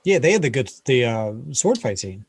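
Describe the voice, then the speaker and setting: A podcast guest chiming in with short, joking comments. His voice is husky and sits at a middle pitch, and he keeps his remarks brief and playful. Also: big pitch swings, moderate pace. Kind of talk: casual chat.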